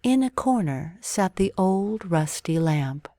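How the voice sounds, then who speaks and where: Woman, lowish voice, unhurried pace, expressive bedtime storyteller, scripted children's narration. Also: dry recording, studio quality.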